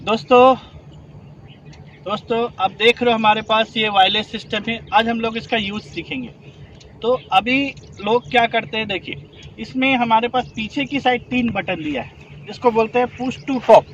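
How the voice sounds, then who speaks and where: A man's voice: extremely high pitch, fairly even intonation, moderate pace, a bit bright, dull audio, slight background noise. A man, a rescue trainer, explaining a device step by step and asking his class to confirm. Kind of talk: instructional demonstration.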